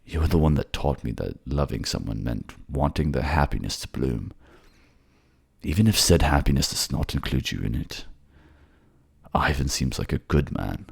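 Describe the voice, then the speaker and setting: Man playing a wounded fantasy king, extremely low voice, creaky, gentle, halting, devoted, intimate audio drama. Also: animated, dry recording, studio quality, exaggerated voice. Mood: soft, loving. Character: gravely wounded king, tender, remorseful, devoted lover.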